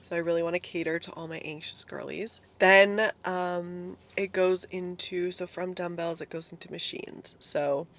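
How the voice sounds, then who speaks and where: A young woman vlogging about fitness in a casual, chatty way, swearing freely, her voice hoarse because she is losing it. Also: on the low side, steady tone, moderate pace, hardly any pauses, a bit bright. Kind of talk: casual solo talk to camera.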